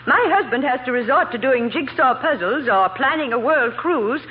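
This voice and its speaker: An actress playing a pompous, fluttery high-society matron with an affected, genteel, condescending manner in a 1940s radio comedy. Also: female voice, animated, normal speed. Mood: airily condescending. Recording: dull, hissy vintage radio broadcast.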